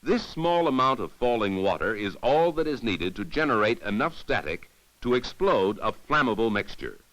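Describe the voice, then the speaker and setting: A man giving formal, measured, didactic narration in the scripted style of a 1950s educational safety film. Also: medium pitch, very animated, fairly bright tone, rough recording.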